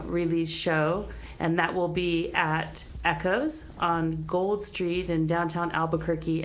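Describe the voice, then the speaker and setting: A woman's voice: fairly low pitch, a bit bright. A woman musician chatting casually as an interview guest, with a wry, self-deprecating manner. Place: radio studio.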